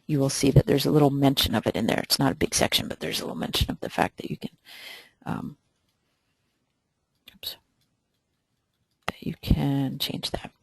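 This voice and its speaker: A webinar instructor answering viewer questions during a live software demo, hesitant and thinking aloud with many pauses. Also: mid-range voice, creaky, dry recording.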